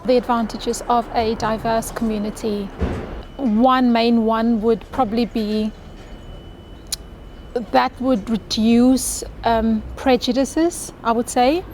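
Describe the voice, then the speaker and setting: A woman's voice: on the high side, steady tone, takes it slowly, a little mellow, a little hiss or hum. A woman from South Africa, Afrikaans her first language, with a South African accent, chatting in an interview and laughing easily. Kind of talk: recorded interview answers.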